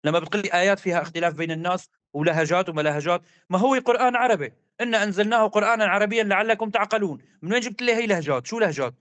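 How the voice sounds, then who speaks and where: A man's voice: high voice, fairly bright tone, no room sound. A man arguing hard in a religious debate, insistent and repetitive. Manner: rapid, insistent, argumentative, repeats demands.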